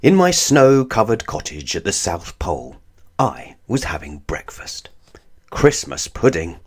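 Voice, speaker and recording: A man reads a children's storybook aloud. His voice sits on the low side and moves expressively up and down as he narrates. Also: big pitch swings, a little slow, darkish tone, breathy edge. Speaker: storybook reader.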